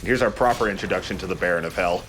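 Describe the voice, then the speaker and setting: A man's voice: deep voice, very fast, fairly bright tone, slight background noise. A man giving casual, conversational video game commentary as a let's play host, explaining as he goes. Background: game music.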